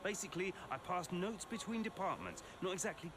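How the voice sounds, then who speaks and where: A man's voice: high-pitched, lively intonation, a little fast. A man playing the flippant, resentful young son of a racing boss in a game cutscene, sarcastic and mock-breezy. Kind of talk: cinematic game cutscene acting. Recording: loud ambient vehicle noise behind.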